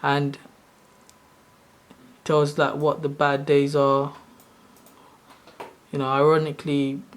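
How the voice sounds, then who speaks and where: A soft-spoken man in his thirties, originally from Sri Lanka, casually walking through his own screen in a loose, rambling way. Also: fairly high pitch, completely flat delivery, slow. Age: 30s. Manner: rambling, loose and self-correcting. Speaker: channel host. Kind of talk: casual screen-share walkthrough.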